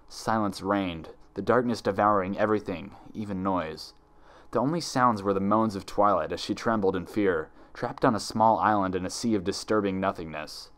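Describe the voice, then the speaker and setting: A man reading dark fan fiction aloud as dramatic, scripted horror narration. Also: on the low side.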